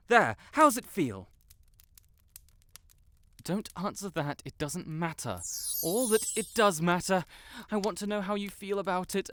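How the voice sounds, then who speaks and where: A man's voice: sits high, fairly bright tone, full character voice. A man playing a proud, lovestruck wizard in a romantic audio roleplay, haughty but stammering, blurting things out and backtracking. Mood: vulnerable confession. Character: proud, flustered tsundere wizard secretly in love.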